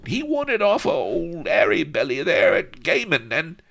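A man's voice: a little high, huge pitch swings, normal speed, vocal fry, fairly bright tone, voice pushed up, heavily acted. A man voicing a small, cowardly pirate henchman in a storybook, babbling nervously.

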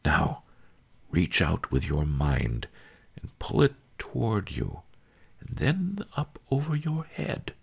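A gentle old master of a storybook speaks slowly and coaxingly, dropping at times to a near whisper, as a man puts him on. His voice is lifted well above the reader's own deep register, with a raspy, gravelly edge. The rise and fall stays lively. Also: exaggerated voice. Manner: calm, coaxing, soft-spoken. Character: wise old male sage, gentle teacher.

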